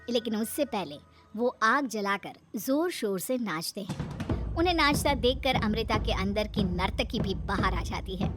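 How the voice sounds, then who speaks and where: A woman narrator reading a scripted cartoon story. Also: mid-range voice.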